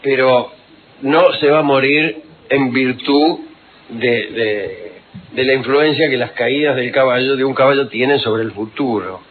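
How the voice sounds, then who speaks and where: A man, telling historical anecdotes on the radio in an unhurried, wry, digressive way full of fillers. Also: mid-range voice, a little hiss or hum. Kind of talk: rambling storytelling on radio. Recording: tinny phone-like radio recording.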